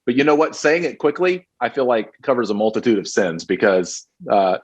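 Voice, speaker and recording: A man's voice: medium pitch, lively intonation, fairly quick. A man co-hosting a casual sports podcast, chatty and wry, in an easygoing manner. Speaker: podcast co-host. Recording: dull lo-fi mic.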